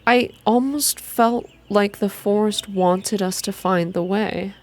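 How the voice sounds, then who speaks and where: A woman reading a story aloud in a soft-spoken, measured, atmospheric ASMR style. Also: mid-range voice. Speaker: reader of a video game story.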